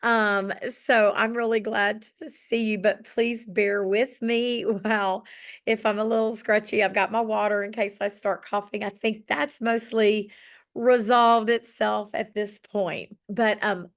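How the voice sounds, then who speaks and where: A woman's voice: medium pitch, little pitch movement, unhurried. A middle-aged woman with a slightly scratchy voice, leading an online journaling session in a chatty, encouraging way over a video call. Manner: chatty and encouraging, with many ums. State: slightly scratchy voice after illness. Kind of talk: casual online group session.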